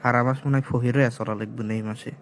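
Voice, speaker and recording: A man's voice: medium pitch, fairly even intonation, racing, slight background noise, lightly acted. A man with a Pabna accent from Bangladesh voicing a grumbling, money-anxious henpecked husband in a comic cartoon dub. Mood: grumbling, resigned. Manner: muttering complaints. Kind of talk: comic cartoon dubbing in dialect.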